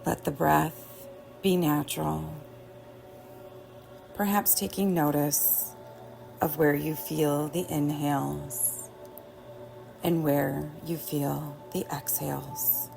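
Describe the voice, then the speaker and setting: A woman teaching yoga nidra, guiding relaxation in a gentle, soothing way with long pauses. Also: deep voice, fairly even intonation, creaky. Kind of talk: guided relaxation meditation. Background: soft background music.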